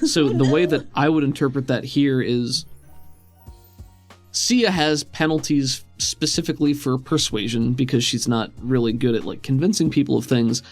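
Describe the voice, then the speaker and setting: A man's voice: mid-range voice, moderate pace, faint music behind. A man running a tabletop game as game master, narrating and explaining rulings in a casual, conversational way.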